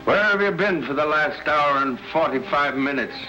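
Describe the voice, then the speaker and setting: Haughty, accusing man, theatrically expressive. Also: a little high, moderate pace, lo-fi sound. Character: stern, imperious, suspicious artist. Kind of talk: old-time radio drama acting. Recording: old radio broadcast with music.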